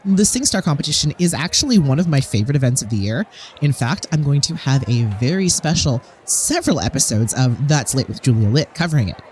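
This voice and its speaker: A woman's voice: rumbling low, very animated, fairly quick, darkish tone, a little hiss or hum. A woman playing a showy, self-promoting talk show host in an audio drama, gushing with dry, backhanded politeness. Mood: chatty, probing. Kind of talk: broadcast interview in audio drama. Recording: crowd murmur behind.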